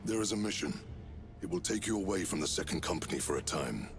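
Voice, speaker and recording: A man's voice: low voice, animated, fast, creaky, music in the background. A man playing a commanding, noble warrior chapter master in a video game cutscene, with grave, formal, oratorical delivery. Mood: grave, solemn. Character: commanding, proud Space Marine Chapter Master, stern and noble.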